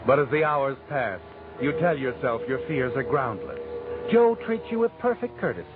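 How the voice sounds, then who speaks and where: An actor playing a knowing, ominous narrator in a 1940s radio suspense show, insinuating and theatrical, addressing the heroine directly. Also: male voice, mid-range voice, animated, moderate pace, natural voice. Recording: tinny vintage radio recording. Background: loud dramatic music.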